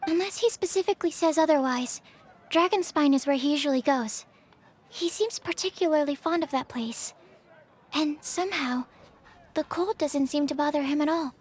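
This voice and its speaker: A woman's voice: high voice, normal speed, a little mellow, close to an everyday voice. A woman voicing a timid, polite young female alchemist who stammers a little, in a game cutscene. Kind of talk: video game cutscene dialogue. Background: game music.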